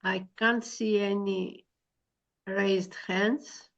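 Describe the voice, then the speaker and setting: A woman moderating an online seminar, asking and thanking in a hesitant, halting way over a video call. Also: medium pitch, fairly even intonation. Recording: muffled video call audio.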